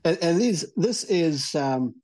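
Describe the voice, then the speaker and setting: A male radio columnist talks through a review in a conversational style. His voice is mellow and dark, with a husky grain, and he explains keenly in halting stretches. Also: a little high, lively intonation. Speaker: audio entertainment columnist and reviewer.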